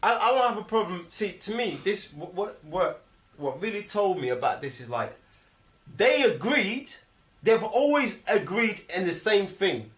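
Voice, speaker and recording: A man's voice: sits high, sing-song, measured pace, fairly bright tone. A man with a London accent casually debating films in slang, emphatic and repetitive as he argues his point. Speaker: film review host. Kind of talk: animated casual film discussion, heavy slang. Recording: echoey room.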